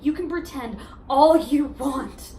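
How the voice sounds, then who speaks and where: Heartbroken teenage girl, acted by a woman, high-voiced. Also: dark tone, roomy sound, slight background noise. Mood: pleading, desperate. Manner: emotional, insistent, deliberate dramatic pauses. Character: heartbroken, pleading high school girl confronting ex-boyfriend. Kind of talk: amateur theater monologue.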